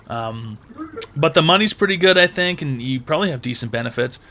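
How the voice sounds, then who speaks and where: Brash, bright-voiced male advice host. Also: on the high side, expressive, fairly quick. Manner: brash, mocking, profane, loud outbursts. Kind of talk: crude call-in advice banter.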